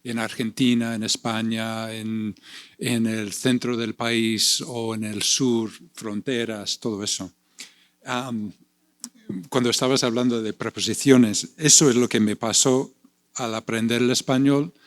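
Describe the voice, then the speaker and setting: A slow-speaking man reading aloud and reflecting. A Spanish speaker with a relaxed, unhurried delivery, often repeating words as he searches for them. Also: mid-range voice. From United States. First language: English. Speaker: panelist and poet. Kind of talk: panel discussion and poetry reading.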